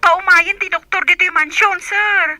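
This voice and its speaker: A woman's voice: extremely high pitch, fairly even intonation, moderate pace, bright, forceful tone, no echo, faint music behind, heavily acted. An actress playing an anxious, deferential female servant over a telephone effect in a radio drama. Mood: urgent, deferential. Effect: telephone filter. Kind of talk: Filipino radio drama acting.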